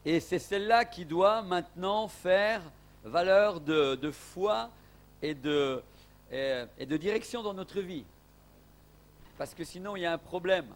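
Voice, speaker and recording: A man's voice: sits high, a little slow. A man from Portugal preaching a sermon as an evangelist in a church, emphatic, with rising exclamations.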